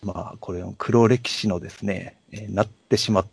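A man co-hosting a casual podcast chat, speaking politely and haltingly in an apologetic way. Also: lowish voice, fairly even intonation, vocal fry, husky, no room sound. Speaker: podcast co-host.

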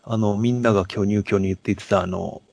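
A man co-hosting a podcast, discussing films casually and politely in short, halting phrases. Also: lowish voice, fairly even intonation, vocal fry, darkish tone, breathy edge, no room sound. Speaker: podcast co-host.

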